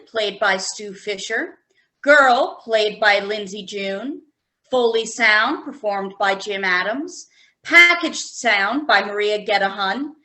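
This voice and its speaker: Bright, high-voiced woman announcer. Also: poor audio. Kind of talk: scripted credits and promotional announcement.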